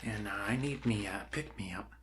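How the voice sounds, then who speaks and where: A man with an American accent playing a friendly, rambling doctor in a soft-spoken ASMR roleplay, halting, reassuring and dryly jokey. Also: fairly low pitch, background noise, natural voice. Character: friendly, rambling human doctor welcoming an alien to Earth.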